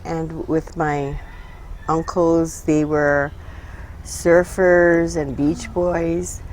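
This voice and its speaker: An older woman from Hawaii speaks in a low, unhurried voice. She talks haltingly, with many pauses and fillers, as an interview guest recalling her past. Faint noise hums behind her. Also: level tone, a little mellow. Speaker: interview guest, retired social worker. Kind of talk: casual reminiscing conversation.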